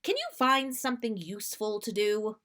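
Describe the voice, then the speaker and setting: A woman's voice: mid-range voice, normal speed, a bit bright, lightly acted. A woman voicing a harried motherly woman, snappish but kind and worried, in a story reading. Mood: exasperated.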